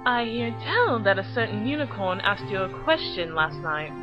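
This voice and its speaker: Casting: woman playing noble cartoon unicorn wife, raised pitch, genteel but sharp-tongued, muffled audio over music. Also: lively intonation, normal speed, close to an everyday voice. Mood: knowing. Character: genteel noble unicorn mother, sly, brisk, scolding wife.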